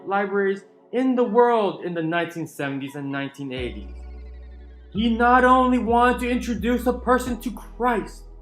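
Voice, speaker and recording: A man giving a dramatic, emphatic reading of a book, with deliberate pauses. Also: sits high. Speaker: channel narrator reading a book aloud. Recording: roomy echo with music underneath. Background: soft chill music.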